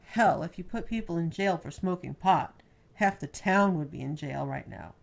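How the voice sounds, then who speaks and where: A woman's voice: on the low side, lively intonation, normal speed, gravelly. A woman reading the part of a worried, playful young wife in an audiobook novel, in an earnest and emphatic manner. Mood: earnest, insistent. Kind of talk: audiobook character dialogue.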